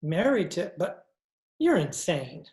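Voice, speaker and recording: An actor playing a cultured, nervous judge and pool hustler, speaking in a fussy, theatrical old-time radio style. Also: male voice, a little high, very animated, slow pace, a little mellow. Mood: appalled, protesting. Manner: pompous, fussy, theatrical speech. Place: indoor room, recorded over video call. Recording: roomy, echoing video-call recording.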